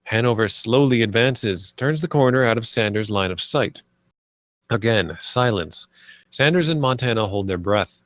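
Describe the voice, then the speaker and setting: A man's voice: fairly low pitch, moderate pace, a bit bright, no echo. A man reading stage directions as narrator at a screenplay table read.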